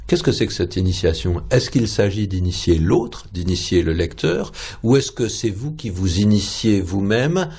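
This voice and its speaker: A man's voice: lowish voice, animated, moderate pace, few pauses, a little mellow, breathy edge. A man hosting a radio interview, asking thoughtful questions and addressing his guest formally.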